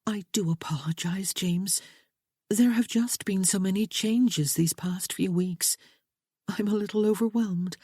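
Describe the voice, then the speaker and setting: An earnest, emotional young bride, as a woman narrator puts her on, her voice pushed higher than the reader's own. Underneath sits a low, mellow, husky tone, recorded dry and close. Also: measured pace, dry recording.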